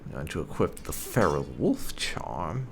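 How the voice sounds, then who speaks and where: Casual game commentator, male, deep voice with swooping intonation, talks through his choices in loose asides. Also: sing-song, measured pace, slight background noise. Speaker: gaming video host. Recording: game music behind the voice. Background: video game music.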